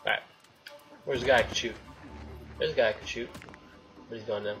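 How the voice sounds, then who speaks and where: A man's voice: mid-range voice, fairly even intonation, a little fast, faint music behind. A young man giving casual, sweary let's play commentary, grumbling and talking to himself and the game. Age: young adult. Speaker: gaming video host. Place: room indoors at home. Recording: game noise behind the voice. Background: video game sounds.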